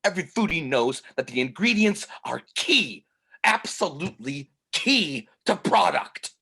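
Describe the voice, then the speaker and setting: A man acts out a masked crime boss in a stage comedy. The voice is husky and swings widely in pitch. He takes his time, gloating and nursing a grudge with theatrical relish. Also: big pitch swings, pushed and bright, heavily acted. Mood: indignant rant. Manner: theatrical, drawn-out, swearing. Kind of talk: table read of a comedy play. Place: remote video call.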